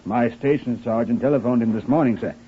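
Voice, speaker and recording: An actor playing a plain-spoken village chief constable with a British accent, matter-of-fact and deferential, in an old radio drama. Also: male voice, mid-range voice, brisk, lightly acted. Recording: dull, faintly noisy old radio recording.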